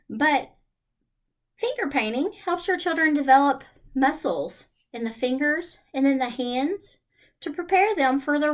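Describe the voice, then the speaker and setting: A woman leading a children's storytime, reading and chatting in a singsong way and putting questions to her young listeners. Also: on the high side. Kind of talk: children's storytime read-aloud and chat.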